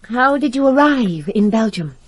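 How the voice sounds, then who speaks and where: An actress in a radio drama playing a cool, frank, worldly young woman with a husky voice. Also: a little high, animated, normal speed, no echo. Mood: composed, hospitable.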